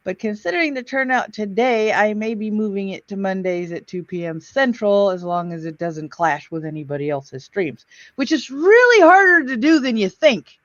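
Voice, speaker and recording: A woman's voice: mid-range voice, lively intonation, normal speed, no room sound. Casual woman streamer, dry slightly dull mic. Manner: joking, self-deprecating, colloquial. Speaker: host of an old time radio stream. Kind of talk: casual chat and live commentary. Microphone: close dry mic on a live stream.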